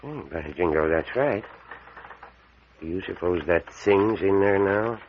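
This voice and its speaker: A man's voice: low voice, measured pace. An actor playing a superstitious, fatherly small-town doctor with an American accent and a folksy, foreboding manner, in an old-time radio mystery. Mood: uneasy, warning. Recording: muffled, noisy old radio recording.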